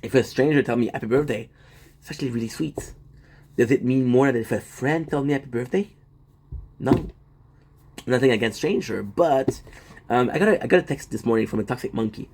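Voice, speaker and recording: A man's voice: mid-range voice, fairly quick, long pauses, darkish tone, a little hiss or hum. Man, rambling casual stream chat, answers the chat. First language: French. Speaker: livestream host.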